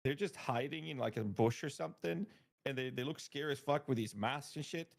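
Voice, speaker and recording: A man with a gravelly, fry-laced voice at a middling pitch talks casually on a podcast. He tells his stories with animated energy and laughs often between lines. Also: brisk. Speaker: podcast guest.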